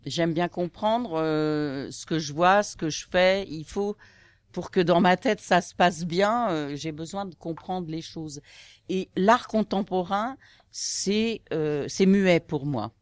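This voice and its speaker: A woman's voice: on the low side, takes it slowly, no echo. A woman speaking casually in an interview, hesitant and searching for her words. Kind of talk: casual personal testimony.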